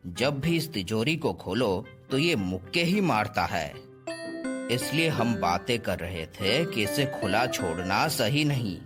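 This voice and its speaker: Male actor as exasperated middle-aged dad in a children's animation. Slow, expressive delivery, prominent music underneath. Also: on the low side. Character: busy, exasperated middle-aged father in a cartoon fable. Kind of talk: animated children's story voice acting.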